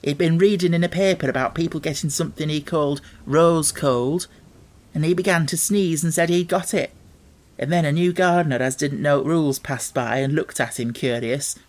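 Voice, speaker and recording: A man's voice: fairly high pitch, moderate pace, lightly acted. Storybook role: young Yorkshire servant girl, homely and dutiful, broad dialect; performed by a man. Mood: gossipy. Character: plain-spoken Yorkshire young housemaid, anxious and dutiful.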